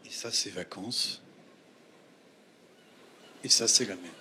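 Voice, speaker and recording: A man reading his own poetry on stage, chanting short, repeated phrases with pauses between them. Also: lowish voice, bright, forceful tone. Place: theatre stage at a festival. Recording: noisy live stage recording with ambience.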